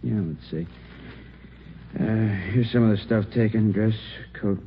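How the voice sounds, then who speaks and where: Easygoing radio detective, lowish, offhand, muffled old recording w faint hiss. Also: male voice, measured pace. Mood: helpful, offhand. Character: easygoing male burglary detective. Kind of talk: 1950s radio drama acting.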